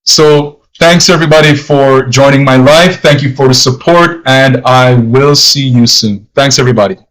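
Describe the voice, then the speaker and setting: A man's voice: mid-range voice, fairly even intonation, normal speed, a bit bright, poor audio. Jamaican man teaching on a livestream. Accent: put-on non-Jamaican accent, not his natural one. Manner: rambling, repeats himself, frequent fillers. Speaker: language teacher, livestream host. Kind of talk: casual livestream talk.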